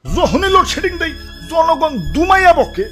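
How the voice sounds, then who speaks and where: A smug, put-upon male power worker in a cartoon, speaks high and swoops about with a Bangladeshi accent. He grumbles in colloquial style over music and crowd sound. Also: sing-song, moderate pace. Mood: indignant. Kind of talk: exaggerated comedy cartoon dubbing. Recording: music and crowd noise behind voice. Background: loud comic music, crowd sounds.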